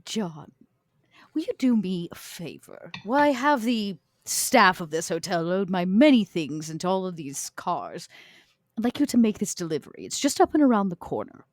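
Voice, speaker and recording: A woman's voice: fairly low pitch, sing-song, normal speed, dry recording. A woman playing an elegant, commanding vampire aristocrat in a tabletop game, with a polished, politely imperious manner. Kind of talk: in-character tabletop roleplay.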